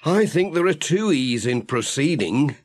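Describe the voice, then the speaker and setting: Man voicing an easygoing, husky village postman. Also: fairly high pitch, very animated, measured pace, no room sound, pitched above the performer's own voice. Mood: reassuring. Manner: easygoing, good-humoured, homely. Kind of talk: children's audiobook character reading.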